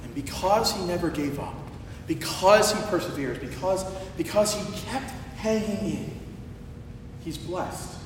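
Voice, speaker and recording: A man with a slightly hoarse voice preaching in a conversational, storytelling style, animated and emphatic, drawing out phrases for effect. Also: measured pace. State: hoarse from shouting the day before. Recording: echoey large hall, distant, faint rumbling noise.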